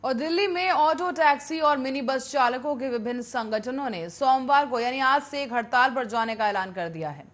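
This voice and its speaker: High-pitched woman reading the news. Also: normal speed, few pauses. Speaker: news anchor.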